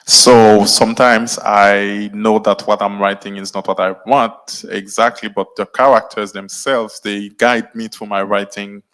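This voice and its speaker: A man acting as moderator and interpreter, calmly rendering the guest's French into English at a live event. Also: fairly low pitch, rough recording. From Haiti. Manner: measured, rephrasing the guest's words in English. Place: live event before an audience.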